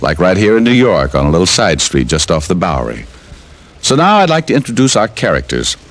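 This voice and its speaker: A man's voice: deep voice, very animated, a little fast. An actor playing a wisecracking male private detective, narrating a 1950s radio drama in a wry, easygoing way. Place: radio studio.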